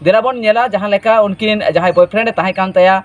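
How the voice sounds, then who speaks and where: A man presenting regional news in an informal commentary style, with halting, hesitant delivery. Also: high voice, steady tone.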